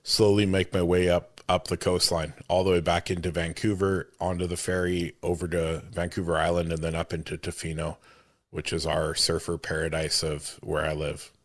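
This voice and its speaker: A man's voice: low voice, flat delivery, normal speed, no room sound, clean studio sound. A man from Oregon hosting a casual interview in an easygoing way. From United States, Oregon. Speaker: podcast host.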